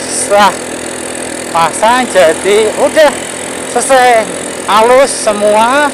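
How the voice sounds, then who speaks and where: A man's voice: high voice, animated. A man riding a motorcycle, chatting casually in a loose, rambling way. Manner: rambling, repeats phrases, pauses to recall words. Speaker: motovlogger. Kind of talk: casual narration while riding. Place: outdoors on a motorcycle on a road. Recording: engine and road noise.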